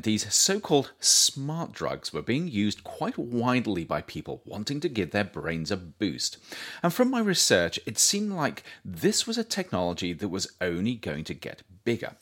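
A man reading his own nonfiction book aloud as a scripted audiobook narration, with expressive, deliberate, emphatic phrasing. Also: on the low side.

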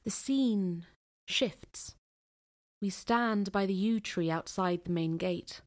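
A woman narrating an audio play in a deliberate, poetic storytelling manner. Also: medium pitch, darkish tone.

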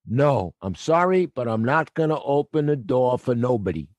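An actor playing a gruff theater night watchman with a blunt, working-class New way of talking, in an audio drama. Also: medium pitch, lively intonation, normal speed, darkish tone, no room sound, dull audio, lightly acted. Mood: grudging.

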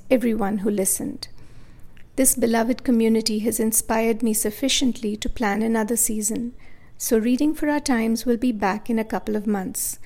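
A woman's voice: a little high, a little mellow. A woman hosting a podcast, reading scripted narration to her listeners. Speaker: podcast host.

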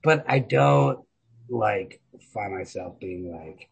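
Very raspy, creaky man chatting casually. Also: lowish voice, expressive, slow pace. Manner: informal, profane, rambling and opinionated. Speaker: podcast co-host. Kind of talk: casual literary chat.

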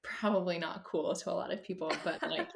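Chatty woman with a lowish voice. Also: fairly quick, creaky, background noise. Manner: rambling, many fillers and restarts; laughs easily. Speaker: therapist, podcast guest. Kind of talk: casual conversation.

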